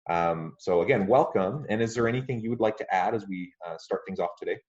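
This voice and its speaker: A man hosting a podcast interview, speaking in a friendly, measured way with frequent fillers. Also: fairly low pitch, a little mellow, muffled recording. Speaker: podcast host.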